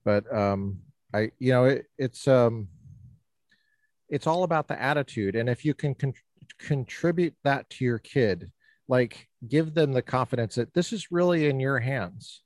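Lively rambling male podcast host. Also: medium pitch, unhurried, dry recording. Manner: animated, rambling, playful teasing. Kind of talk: casual interview chat.

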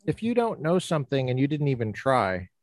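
A man hosting a podcast interview, talking in an animated, rambling way with playful teasing. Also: medium pitch, brisk, dry recording. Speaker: podcast host. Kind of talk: casual interview chat.

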